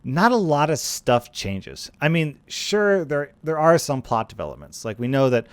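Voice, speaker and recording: A man's voice: fairly high pitch, very animated, moderate pace, smooth, no echo. Casual male gaming commentator, dry close mic. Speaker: gaming video host.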